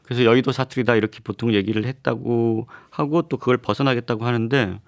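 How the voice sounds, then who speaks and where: A man speaking as a guest professor on a radio current-affairs program. He explains his points in a measured, analytical way and now and then pauses on fillers. The studio sound is close and dry. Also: medium pitch, fairly even intonation, vocal fry, dry recording. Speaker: political science professor guest. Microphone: studio microphone.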